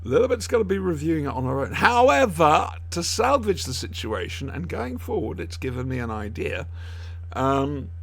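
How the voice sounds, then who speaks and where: A man's voice: fairly high pitch, big pitch swings, normal speed, gravelly, dry recording. A man hosting a podcast in casual, jokey chat, often rambling and losing his thread. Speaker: podcast host.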